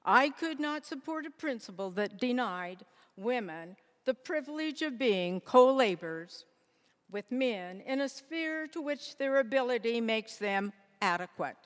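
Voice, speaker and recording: A woman performing a dignified, resolute nineteenth-century women's rights pioneer in a scripted stage monologue, with measured, deliberate oratory. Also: on the high side, lively intonation. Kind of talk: scripted readers theater monologue. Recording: echoey hall with long reverb.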